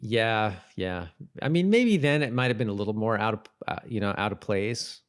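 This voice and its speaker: A man's voice: fairly low pitch, lively intonation, normal speed, clean tone. A man co-hosting a podcast, chatting casually about a show, agreeable and often thinking aloud hesitantly. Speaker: podcast co-host. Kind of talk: casual TV episode recap chat.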